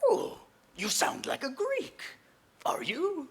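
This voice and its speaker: A man on stage playing a wounded, wary, vengeful exiled Greek archer with a halting, blunt delivery. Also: extremely high pitch, wildly swooping pitch, voice pushed up, exaggerated voice. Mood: wary, threatening. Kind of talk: stage play acting.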